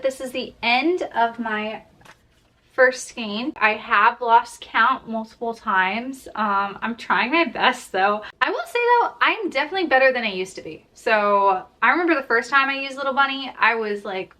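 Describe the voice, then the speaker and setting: High-voiced young woman chatting casually. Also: a little slow, sharp tone. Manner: chatty, informal, frequent "like". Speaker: crochet vlogger and host. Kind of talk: casual chat to viewers.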